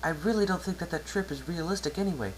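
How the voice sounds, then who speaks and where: Man as an exasperated elder pony princess, raised pitch. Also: quick pace, slight background noise, close to an everyday voice, low-quality recording. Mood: reasoning, soothing. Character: exasperated elder sister pony princess, guilty but soothing.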